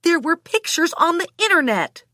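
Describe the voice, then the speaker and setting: A woman's voice: very high voice, big pitch swings, normal speed, sharp tone, no room sound, studio quality, pitched above the performer's own voice, exaggerated voice. A woman voicing a wry, teasing, sensible female character in audiobook dialogue. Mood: reproachful. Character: wry, sensible woman calming her hot-headed male friend.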